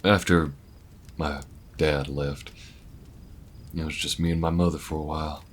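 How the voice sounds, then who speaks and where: A man's voice: very deep voice, little pitch movement, unhurried, slight background noise. A man voicing a laid-back, protective alien gunslinger in an intimate audio roleplay, with folksy, hesitant, reflective speech. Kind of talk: slow-burn audio roleplay, intimate monologue to listener.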